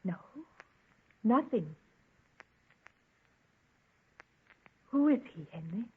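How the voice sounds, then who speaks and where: An actress playing an anxious, devoted young fiancée in an earnest, pleading golden age radio drama style. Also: female voice, mid-range voice, animated, measured pace, breathy edge. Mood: hesitant, puzzled. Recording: tinny band-limited vintage radio recording.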